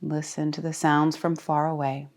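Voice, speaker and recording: A woman leading a guided relaxation as a yoga teacher, speaking calmly and soothingly with deliberate pauses. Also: low voice. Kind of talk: guided meditation.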